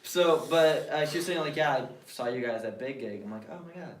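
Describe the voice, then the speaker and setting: A man's voice: mid-range voice, lively intonation, a little fast, slight background noise. A man of about twenty-one with an American accent, a drummer chatting casually on a podcast in a rambling, story-telling way. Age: 20s. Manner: chatty, rambling, quotes people. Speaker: band drummer, guest. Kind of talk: casual chat. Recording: roomy, distant mic.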